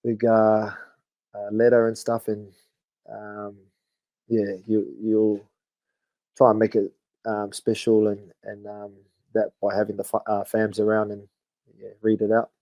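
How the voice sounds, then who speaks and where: A man in his thirties with a New Zealand accent talks in a loose, laid-back way, often tossing in "bro". His voice is warm and mellow. The sound is dry and close. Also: on the low side, measured pace, pauses often, no room sound, poor audio. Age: 30s. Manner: laid-back, relaxed storytelling with frequent "bro" and fillers. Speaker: guest, rugby league player. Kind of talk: casual podcast chat.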